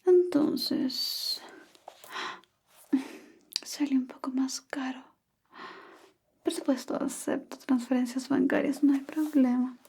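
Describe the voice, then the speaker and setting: A woman whispering softly and playfully as a language tutor in an ASMR roleplay. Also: high voice, fairly even intonation, takes it slowly, gravelly, long pauses, slightly rough. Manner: soft whisper, playful teasing.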